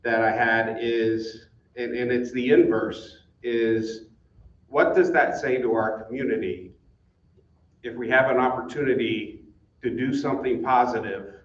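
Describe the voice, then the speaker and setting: A man speaking in a halting, reflective and questioning way as he comments at a community meeting. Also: mid-range voice. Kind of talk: informal spoken comment. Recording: muffled, distant, echoey room with noise.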